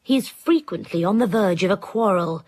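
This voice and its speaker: A woman's voice: mid-range voice, animated, normal speed, a little mellow, natural voice. An actress playing a witty, genteel 18th-century hostess and mother, speaking with a refined, wry manner. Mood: weary. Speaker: actress in radio drama. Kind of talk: BBC radio drama acting.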